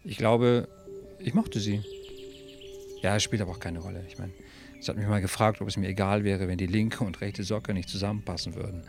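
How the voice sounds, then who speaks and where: A wistful male neighbour telling his memories in a radio play. He sounds low and reflective, with music behind him. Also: fairly even intonation, a little fast, creaky, darkish tone. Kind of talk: first-person radio drama narration.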